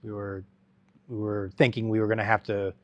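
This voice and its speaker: A middle-aged man speaks in a somewhat low voice, telling his story in a halting, rambling way full of restarts and fillers. The sound is dry and close, with no room echo. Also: flat delivery, muffled recording. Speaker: guest. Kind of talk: conversational interview storytelling.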